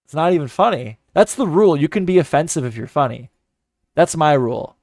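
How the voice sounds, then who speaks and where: A man's voice: a little high, animated, brisk, darkish tone, no room sound, clean studio sound. A man giving mocking, profane commentary in a sarcastic, scornful way. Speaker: commentary host.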